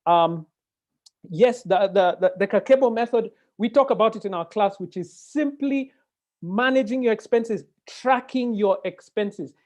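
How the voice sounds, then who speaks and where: A man with a Kenyan accent moderating a webinar conversationally, animated and given to digressions and interjections. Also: sits high, a little slow. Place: indoor room, video call. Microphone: earphone mic on video call. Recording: dull, lo-fi video-call audio.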